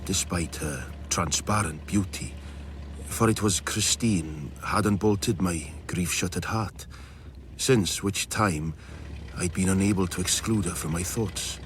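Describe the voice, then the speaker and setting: A man's voice: sits low, measured pace, slight background noise. An actor playing a wry, world-weary British man in a radio drama, speaking in a dry, sardonic, guarded manner. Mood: wry, yearning. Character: wry, world-weary man secretly in love with his friend's wife. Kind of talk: radio drama acting and first-person narration.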